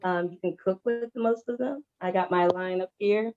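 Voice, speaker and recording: A cheerful, happy-go-lucky woman casually pitching her products as a guest seller. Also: moderate pace, narrow, tinny audio. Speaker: guest vendor of essential oils.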